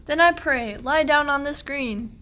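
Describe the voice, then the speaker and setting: A woman's voice: high-pitched, unhurried, sharp tone. A woman playing a kindly, devout medieval shepherd, declaiming rhymed verse in a radio play. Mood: soothing.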